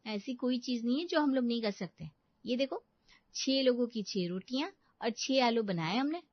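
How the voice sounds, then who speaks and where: A woman's voice: on the high side, lively intonation, moderate pace, no echo, muffled recording. A woman voicing a cheeky young daughter-in-law who justifies herself huffily in a cartoon family comedy. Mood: proud. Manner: self-justifying, huffy.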